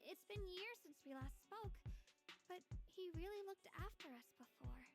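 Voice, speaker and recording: A woman's voice: very high-pitched, animated, moderate pace, over music. A woman playing an earnest, dutiful young female martial disciple in an anime-style video game. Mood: earnest, polite.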